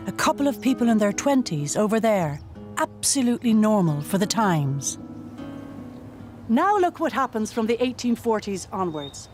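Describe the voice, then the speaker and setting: A woman presenting a documentary, narrating in a clear, measured, guiding way. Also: on the high side, darkish tone. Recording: music bed under narration.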